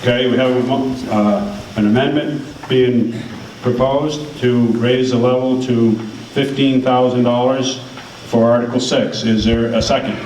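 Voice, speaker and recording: A man moderating a town meeting, moving business along in a brisk, procedural way. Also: medium pitch, steady tone, darkish tone. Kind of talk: running a public meeting. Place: large meeting hall. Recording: echoey hall, far from mic, background noise.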